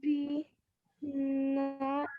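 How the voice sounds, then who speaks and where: A child of about seven or eight reading a storybook aloud in a halting, word-by-word way. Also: high-pitched, completely flat delivery, dry recording, narrow, tinny audio. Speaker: pupil reader.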